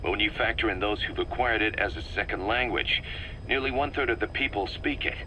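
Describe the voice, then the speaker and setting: A man playing an analytical, wary military second-in-command in a video game, explaining things in a measured, methodical way. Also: on the low side, bright, forceful tone, a little hiss or hum, poor audio. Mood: puzzled, serious. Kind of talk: scripted video game cutscene dialogue.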